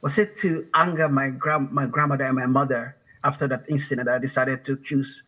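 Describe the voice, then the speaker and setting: A man from Liberia speaks in an unscripted panel chat, his voice bright and a little raspy. He hesitates often, repeating words and laughing lightly as he searches for phrasing. It sounds like a writer answering questions on a public stage. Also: mid-range voice, fairly even intonation, brisk, poor audio. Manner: hesitant, many restarts and laughs. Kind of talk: casual conversation on stage. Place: stage of a public talk venue.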